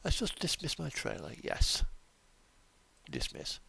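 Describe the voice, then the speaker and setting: A man's voice: medium pitch, huge pitch swings, slow, gravelly, pushed and bright, husky. A man giving casual, rambling game commentary, thinking aloud and trailing off as he plays. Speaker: streamer playing a truck simulator.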